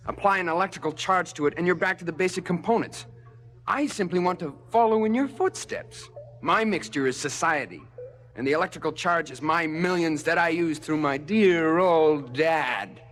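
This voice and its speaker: An actor playing a rich, ruthless, vengeful son in a stylized radio drama, cold, mocking and sure of himself. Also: male voice, a little high, moderate pace, fairly bright tone, natural voice. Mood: cool, calculating.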